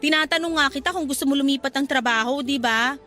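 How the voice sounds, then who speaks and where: Woman acting a sassy, high-voiced shopgirl gossip. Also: fast talker, pushed and bright, faint music behind. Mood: persuasive. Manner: slangy, sassy. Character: gossipy, pragmatic young female shop co-worker. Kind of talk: radio drama acting.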